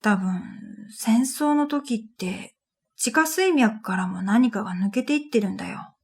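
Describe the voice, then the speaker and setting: A woman reading a scripted first-person story in a reflective, measured way, as narrator of an audio drama. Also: fairly high pitch, expressive, slightly rough. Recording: background music under the voice. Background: music.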